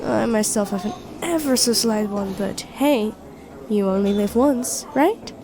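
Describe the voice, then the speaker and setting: A woman's voice: medium pitch, unhurried, a crowd in the background, close to an everyday voice. A woman playing a friendly, teasing young best friend with a secret crush in an intimate ASMR roleplay. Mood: friendly, chatty. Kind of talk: intimate ASMR roleplay acting, one side of a conversation.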